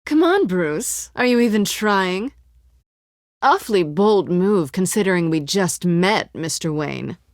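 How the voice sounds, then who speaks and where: A woman's voice: on the low side, animated, measured pace, no echo, clean studio sound. A woman voicing a sly, self-assured cat burglar in a video game, with a sultry, wry, coolly teasing way of talking. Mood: taunting, playful. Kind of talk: video game voice acting, dramatic dialogue.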